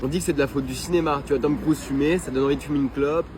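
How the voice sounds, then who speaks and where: A man's voice: on the high side, steady tone, rapid-fire, over music. A man delivering slangy, teasing comedy banter and punchy jokes. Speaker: stand-up comedian. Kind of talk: comedic banter and joke routines with audience or friends.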